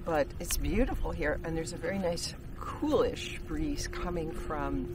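A woman's voice: deep voice, measured pace, noisy background. A middle-aged woman chatting cheerfully outdoors on a hike, pointing out trees and describing the trail. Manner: chatty and upbeat, pointing out plants. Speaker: hiking companion. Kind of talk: casual chat. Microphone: camera held by someone else.

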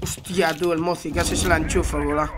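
Young man, Spanish accent, stuffy cold voice, high-pitched, chatty slangy gamer talk, headset mic. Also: fairly even intonation, normal speed, background noise. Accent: Spanish from Spain. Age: young adult. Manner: chatty, slangy, addresses viewers directly. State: congested with a cold. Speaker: gaming YouTuber. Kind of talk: casual gameplay commentary. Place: home room at a gaming setup.

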